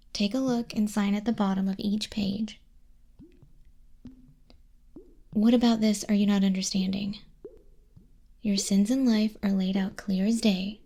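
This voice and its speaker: A woman playing a sardonic, bored demon clerk in a whispered ASMR roleplay, soft-spoken and condescending, close to a binaural microphone. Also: mid-range voice, slightly rough. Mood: businesslike, impatient. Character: sardonic, bored female demon clerk registering sinners in Hell.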